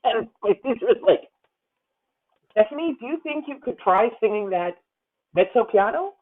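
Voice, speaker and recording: A woman in her fifties leading a voice masterclass, talking animatedly with halting restarts and breaking into sung demonstration phrases. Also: a little high, lively intonation. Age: 50s. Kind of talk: coaching a singer in a masterclass. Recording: tinny phone-like video call audio.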